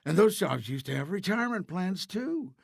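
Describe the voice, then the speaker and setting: Animated male fairy-tale storyteller. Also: high-pitched, moderate pace, creaky, dry recording, clean studio sound. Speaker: narrator. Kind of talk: scripted fairy-tale narration.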